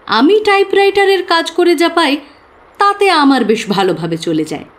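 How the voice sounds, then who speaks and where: An actress playing an earnest young working woman who confides expressively and with pauses in an audio drama. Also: very high-pitched, big pitch swings. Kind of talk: dramatised audio story acting.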